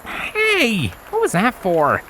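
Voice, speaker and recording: A man's voice: sits high, huge pitch swings, takes it slowly, sharp tone, a little hiss or hum, voice pushed up, heavily acted. A man voicing a timid, easily flustered young male pony with a hesitant, stammering delivery in a story reading. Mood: indignant.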